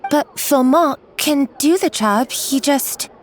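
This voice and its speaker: Timid, high-voiced kitchen worker. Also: female voice, takes it slowly, slight background noise. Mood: flustered. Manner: hesitant, stammering. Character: timid, flustered young female kitchen worker. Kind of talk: video game dub acting.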